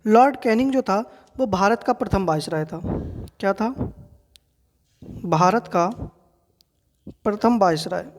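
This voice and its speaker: Low-pitched Indian woman teacher, very reverberant. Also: measured pace, long pauses, slight background noise. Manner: didactic, repeats key facts and asks rhetorical questions. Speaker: exam coaching teacher. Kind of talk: general knowledge quiz lesson for exam students. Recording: long reverberant hall-like echo.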